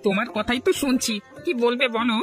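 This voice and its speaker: A man's voice: very high voice, fairly even intonation, brisk, a bit bright, soft background music. A man voicing a kind, stubborn poor village husband in a cartoon, earnest and protesting. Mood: self-justifying. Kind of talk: Bengali cartoon story dialogue.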